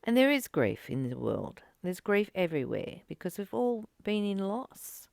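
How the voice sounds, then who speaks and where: A woman giving a reflective spiritual teaching, reading and commenting in short, earnest, gently urging phrases with pauses. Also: medium pitch, big pitch swings, gravelly, no room sound, studio quality.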